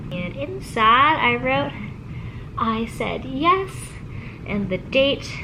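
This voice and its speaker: A woman's voice: medium pitch, background noise. Chatty young woman losing her voice. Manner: chatty, rambling, peppered with "like". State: losing her voice, stuffy nose. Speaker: vlogger. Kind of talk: casual daily vlog chat. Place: at home, then at a restaurant.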